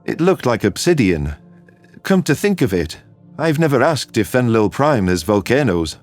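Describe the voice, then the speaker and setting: A man's voice: medium pitch, very animated, moderate pace, soft background music, lightly acted. A man voicing an earnest, easily exasperated male soldier with dry, defensive retorts, in a sci-fi audio story.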